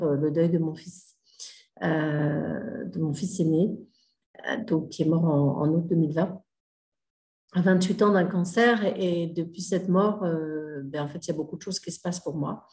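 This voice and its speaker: A woman's voice: lowish voice, fairly even intonation, unhurried, a little mellow, roomy sound. A middle-aged woman mentor giving a casual spoken talk, hesitant, with many fillers and restarts. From Australia. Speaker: grief mentor and healer.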